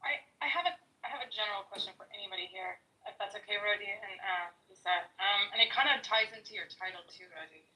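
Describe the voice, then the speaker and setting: A woman politely steps in with a measured question in an online debate, padding her words with fillers. Her voice is bright and clear. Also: medium pitch, rough recording. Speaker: debate participant.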